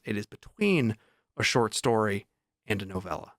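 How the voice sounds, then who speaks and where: A man's voice: lowish voice, very animated, moderate pace, no echo, clean studio sound. A man chatting casually as a vlog host, informal and enthusiastic about his writing. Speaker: vlog host and indie author.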